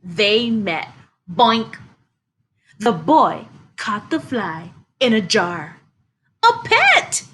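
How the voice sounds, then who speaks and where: A woman librarian reading a children's story aloud, expressively and deliberately, speaking directly to young listeners. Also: mid-range voice, slight background noise.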